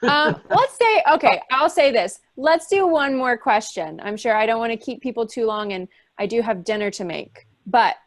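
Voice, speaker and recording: A woman co-hosting a video-call question session, speaking in a brisk and friendly way as she keeps the event moving. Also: fairly high pitch, lively intonation, no room sound. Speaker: co-host.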